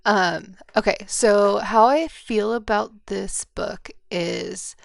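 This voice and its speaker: American woman with a creaky voice. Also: mid-range voice, slow, no room sound, clean studio sound. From Midwestern United States. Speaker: podcast co-host. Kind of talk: casual book chat.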